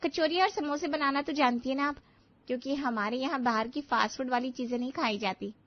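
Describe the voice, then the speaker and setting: A woman's voice: high voice, brisk, no room sound, muffled recording, voice pushed up, lightly acted. A woman voicing a picky, chatty young sister-in-law in a story. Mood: particular.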